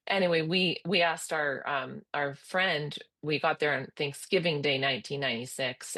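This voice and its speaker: Low-voiced chatty woman telling a story. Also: fairly bright tone. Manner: chatty, rambling with fillers and restarts. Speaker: podcast guest. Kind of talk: casual storytelling.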